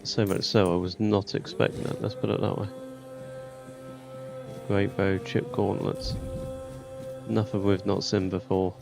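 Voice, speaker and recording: A man's voice: sits low, level tone, measured pace, vocal fry, long pauses, over music. A man giving casual, colloquial gameplay commentary, thinking aloud as he goes. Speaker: gaming YouTuber.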